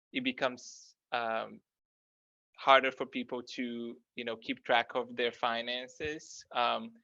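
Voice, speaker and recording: A man's voice: medium pitch, pushed and bright, no room sound. A man from Brazil with a Brazilian accent, explaining financial planning in a conversational talk, hesitating often with many fillers. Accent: Brazilian Portuguese. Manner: hesitant, heavy with "um" and "you know" fillers. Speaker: financial research guest. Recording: dull lo-fi dry sound.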